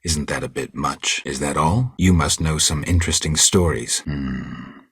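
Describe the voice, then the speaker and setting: An actor playing a gruff, stoic, world-weary monster hunter in a video game, speaking tersely and deadpan in a gravelly, husky voice. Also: male voice, very deep voice, a little slow, dry recording, clean studio sound, heavily acted. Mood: terse, guarded.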